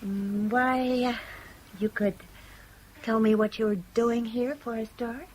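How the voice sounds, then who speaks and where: An actress playing a polite, coy young heiress in a 1970s radio drama, speaking in a courteous manner. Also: female voice, a little high, steady tone, slow. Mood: polite, relaxed. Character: polite, demure young Chinese heiress in Hong Kong. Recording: slightly noisy 1970s radio drama recording.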